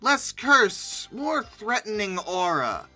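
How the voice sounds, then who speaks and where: Bright, expressive comedic commentator over music. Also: medium pitch, takes it slowly. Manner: theatrical, exclaiming, sarcastic. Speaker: host of a comedy reaction video. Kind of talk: off-the-cuff comic commentary on images.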